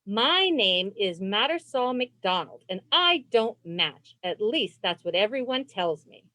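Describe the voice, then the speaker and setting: A woman reading a children's storybook aloud as host, phrasing expressively and deliberately for young listeners on a video call. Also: mid-range voice, very animated, sharp tone, no echo. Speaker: librarian and read-aloud host.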